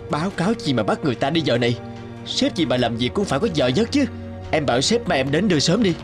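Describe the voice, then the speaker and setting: Man as a gentle, doting young husband. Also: fairly high pitch, expressive, fast, music in the background, close to an everyday voice. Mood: protective. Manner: caring, plain-spoken.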